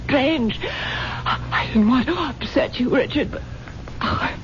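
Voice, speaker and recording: An actress playing a weak, drugged wife who falters and whispers as she slowly realizes she has been poisoned. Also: sits high, faint music behind. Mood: weak, tearful. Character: rich wife, drugged and dying, vowing revenge. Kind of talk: 1970s radio drama acting. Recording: dull old radio broadcast with hiss.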